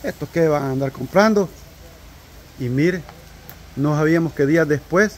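A man's voice: a little high, moderate pace, poor audio. A man, co-hosting a casual vlog, drawing out a story for suspense with restarts. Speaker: vlog co-host. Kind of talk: casual chat. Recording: outdoor audio with faint background noise.

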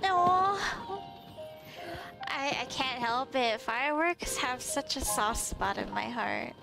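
Girl streamer chatting casually, giggly n excitable, super slow pace, loud game music under her. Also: high-pitched, creaky. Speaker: streamer reacting to videos. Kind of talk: casual live-stream reaction commentary. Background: game trailer music.

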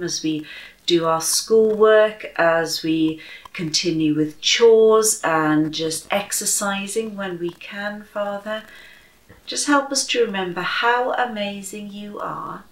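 A woman in her thirties reading aloud and praying for children with deliberate, expressive phrasing. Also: mid-range voice. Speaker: children's church story reader. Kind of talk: slow expressive read-aloud and prayer for children. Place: bright room at home by a window, indoors. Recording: roomy echo.

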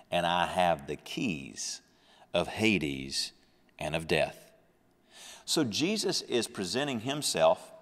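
A man's voice: low-pitched, fairly bright tone, in a large hall. A man in his thirties teaching the Bible on a podcast, earnest, reading verses with swelling emphasis, at a close desk microphone in a small studio. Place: small studio with a dark backdrop. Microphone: large desk microphone close up.

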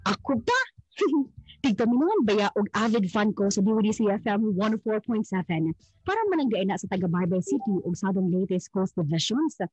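A woman's voice: medium pitch, fairly quick, breathy edge, no room sound, low-quality recording. A woman with a Filipino accent playing an enthusiastic young friend who exclaims and teases in a scripted radio plug. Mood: cheerful, impressed. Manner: exclaiming, animated, teasing.

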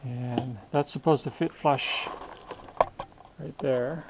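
Hobbyist woodworker, male, laid-back think-aloud delivery, recorded on an action camera in a noisy shop. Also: mid-range voice. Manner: thinking aloud, relaxed and unhurried. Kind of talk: casual workshop narration. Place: home workshop.

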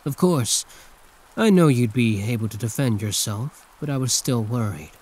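A man's voice: mid-range voice, expressive, moderate pace, a little mellow, close to an everyday voice. A man playing a condescending yet protective hero turned villain in an intimate, theatrical ASMR roleplay monologue. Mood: earnest, confiding.

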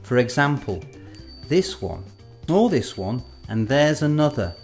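A man in his forties narrates an educational film at an average pitch. His delivery is scripted and measured, placing deliberate pauses for emphasis. Music plays behind his voice. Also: very animated, a little mellow. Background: background music.

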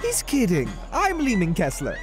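A woman's voice: mid-range voice, huge pitch swings, moderate pace, music in the background. A woman voicing a brash, sarcastic young male wizard in a fan comic dub.

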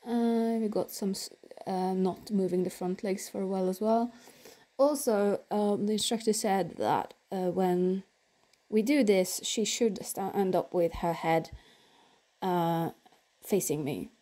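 Young woman, casual voiceover, unhurried and soft-toned, lots of ums. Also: mid-range voice. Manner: relaxed, halting, many ums and restarts. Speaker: horse vlogger. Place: indoors, quiet room, recorded apart from the pictured arena.

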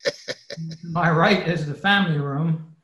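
Man, higher-than-average voice, halting casual explainer, roomy echo on a video call. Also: sharp tone, dull audio. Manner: halting, pausing mid-sentence. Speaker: club presenter.

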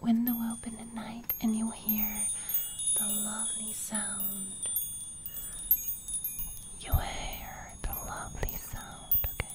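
A young woman whispering a gentle ASMR roleplay very close to the microphone, breathy, softly repeating phrases. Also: a little high, little pitch movement, slow, pauses often. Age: young adult. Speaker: ASMR host roleplaying a sleep fairy. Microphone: close studio microphone.

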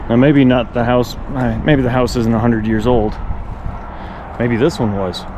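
A man's voice: fairly even intonation, unhurried. A man musing aloud in a reflective, casual way as he explores and comments on what he sees. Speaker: vlogger and photographer exploring abandoned places. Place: abandoned farmstead, mostly outdoors beside a highway. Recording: noisy outdoor field recording, highway nearby.

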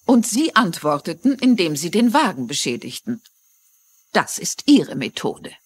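An actress playing a shrewd, courteous mystery writer in a dubbed TV drama, articulate and measured. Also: female voice, lowish voice, very animated, slightly rough, full character voice. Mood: firm, accusing. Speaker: actress in a German dub.

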